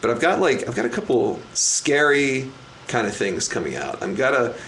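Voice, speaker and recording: Man, loud voice, rambling, restarts a lot, middle pitch, faint background hiss. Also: sing-song, normal speed, low-quality recording. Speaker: guest, magician. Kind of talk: casual interview chat. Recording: faint noise, live stream.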